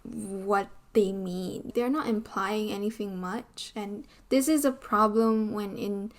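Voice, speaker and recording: A woman in her twenties with a Malaysian accent, chatting casually to camera in an animated way, full of "like" and "you know". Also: medium pitch, slow pace. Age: 20s. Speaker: vlogger. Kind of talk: casual talking-head vlog. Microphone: camera mic, seated on a chair.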